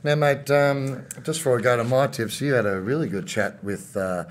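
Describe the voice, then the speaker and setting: A man's voice: medium pitch, moderate pace. A man co-hosting a racing preview, quoting odds and picks in casual banter. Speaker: racing show co-host. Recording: music bed under voice.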